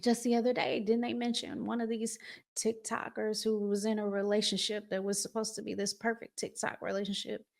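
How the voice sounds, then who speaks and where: A woman's voice: mid-range voice, level tone, a little fast, creaky, a little mellow. A middle-aged woman giving conversational relationship advice as a vlog host, emphatic, repeating phrases and asking rhetorical questions.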